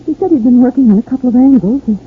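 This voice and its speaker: Woman acting a grieving widow, bitter, earnest, higher voice, 1940s radio drama, thin hissy recording. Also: racing, phone-quality sound, close to an everyday voice. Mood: weary, earnest.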